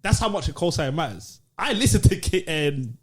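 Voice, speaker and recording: A man's voice: high-pitched, sing-song, normal speed, slightly rough. A British man co-hosting a podcast in casual debate, challenging others with rhetorical questions. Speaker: podcast co-host. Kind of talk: casual debate about music.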